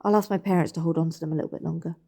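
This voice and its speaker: British woman, anxious and insistent, warm dark-edged voice, naturalistic radio play. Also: low voice, steady tone, racing. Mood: worried, firm. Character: anxious, insistent woman questioning her relatives in hospital. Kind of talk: naturalistic audio drama acting.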